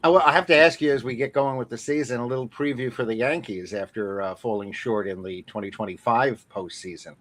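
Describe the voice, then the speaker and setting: A man hosting a conversational sports interview, putting his questions politely in halting, broken-up phrases. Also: mid-range voice, a bit bright. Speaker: interview show host.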